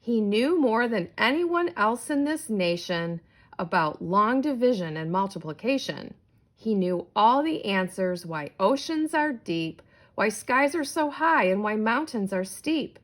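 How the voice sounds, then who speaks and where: Animated woman reading a children's story. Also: mid-range voice, a little slow. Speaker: storybook reader. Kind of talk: expressive read-aloud of a rhyming children's book.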